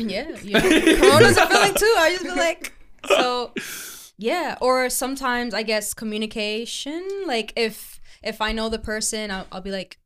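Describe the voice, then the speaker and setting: A woman's voice: a little high, moderate pace, a bit bright. A woman chatting as a podcast guest, speaking casually with lots of slang and frequent laughter. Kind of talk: casual chat.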